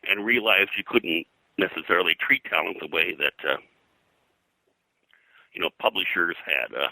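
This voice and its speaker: An older American man reminiscing as an interview guest, in a halting, conversational way full of verbal filler. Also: sits low, bright, forceful tone, no room sound, poor audio. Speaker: interview guest, veteran comics colorist.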